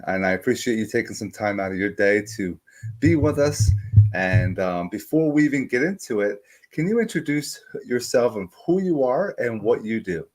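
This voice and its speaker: A middle-aged man hosting a live podcast, energetic and enthusiastic, talking straight to his viewers. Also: fairly low pitch, low-quality recording. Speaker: podcast host. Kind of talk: upbeat show introduction and interviewing.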